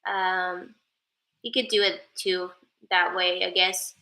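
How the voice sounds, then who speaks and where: A woman's voice: on the low side, little pitch movement, slow pace, sharp tone, roomy sound. A woman from Sweden, whose first language is Persian, chatting casually on a livestream and thanking viewers by name. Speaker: livestreamer and advocate.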